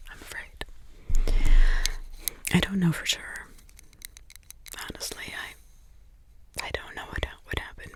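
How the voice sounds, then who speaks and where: A woman voicing a protective witch grandmother in an ASMR roleplay, in a soft whisper with slow, rambling, repetitive asides. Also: mid-range voice, natural voice. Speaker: ASMR creator.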